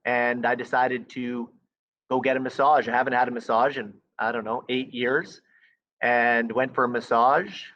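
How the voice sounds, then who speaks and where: A teacher in his thirties telling his story in a brisk, conversational way. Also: mid-range voice, steady tone, fairly bright tone. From Saskatchewan, Canada. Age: 30s. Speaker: French teacher. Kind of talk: interview recollection. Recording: slightly dull, muffled sound.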